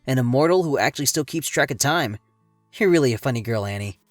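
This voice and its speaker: A woman voicing a gentle, kindly young male god who talks warmly and stammers when caught off guard. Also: a bass voice, expressive, quick pace, no room sound, studio quality, faint music behind, pitched below the performer's own voice. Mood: teasing. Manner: warm, hesitant, with stammers.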